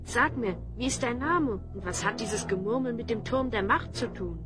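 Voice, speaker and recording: A woman's voice: a little high, normal speed, music in the background, lightly acted. A woman playing a resolute, dryly sarcastic young hero who gives orders in a fantasy audio drama. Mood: wry, questioning.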